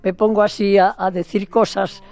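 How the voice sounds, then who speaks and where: A woman's voice: medium pitch, little pitch movement, normal speed, creaky, darkish tone. An older woman from Spain giving a chatty, playful interview, rambling and restarting her sentences often. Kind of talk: casual phone interview.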